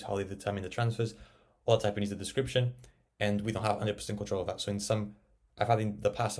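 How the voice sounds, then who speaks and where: A man narrating a casual software tutorial, explaining and thinking aloud as he works. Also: on the low side. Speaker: tech tutorial presenter.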